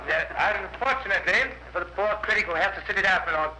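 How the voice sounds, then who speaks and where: Provocative male journalist in court, very bright, dry delivery, faintly noisy vintage radio. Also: sits high, fast talker. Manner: dry, combative, deferential titles, hesitant fillers. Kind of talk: 1950s radio courtroom drama acting.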